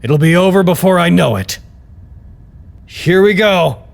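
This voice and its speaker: A male actor playing an amiable, nervously upbeat staffer who narrates his report in a wry, expressive, conversational way for an audio drama. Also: sits high, a little slow, gravelly, slight background noise, heavily acted. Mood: nervously determined. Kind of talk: scripted audio drama monologue, personal report.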